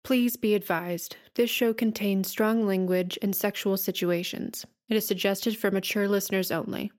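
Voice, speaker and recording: A woman's voice: medium pitch, steady tone, moderate pace. A woman announcer reading a scripted advisory and show credits.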